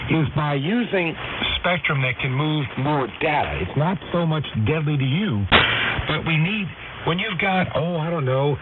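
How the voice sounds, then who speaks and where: Guy hosting talk radio, rambles and asks rhetorical questions, thin phone-like sound with clear static. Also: fairly high pitch. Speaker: radio host. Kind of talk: conversational talk radio monologue. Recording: tinny, noisy shortwave radio band.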